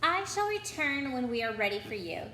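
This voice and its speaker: A stern witch schoolmistress from a storybook, voiced by a woman reader, with a fairly high voice. She is formal and instructive, heard in an echoey room with slight noise. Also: big pitch swings, moderate pace, pushed and bright, full character voice.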